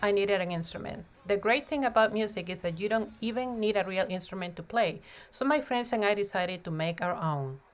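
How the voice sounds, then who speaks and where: A woman narrating a children's picture book in an expressive read-aloud style, with deliberate phrasing and emphasized words. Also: mid-range voice. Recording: music playing behind the voice. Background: music.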